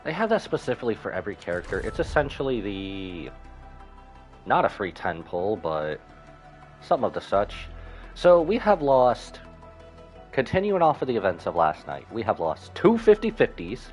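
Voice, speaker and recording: Guy streaming a game, deep voice that moves around a lot, rambly casual chat, bit of music behind. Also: sing-song, normal speed, a little mellow. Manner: rambling, thinking aloud.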